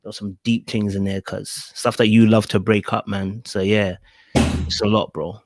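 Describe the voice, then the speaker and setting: A man in his thirties with a South London accent, talking in a relaxed, slangy way as a podcast guest on a video call. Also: lowish voice, moderate pace, slight background noise. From England. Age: 30s. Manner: relaxed, slang-heavy, says bro a lot. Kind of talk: casual interview over video call. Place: home room with shelves behind. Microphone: desk podcast mic on arm, earphones.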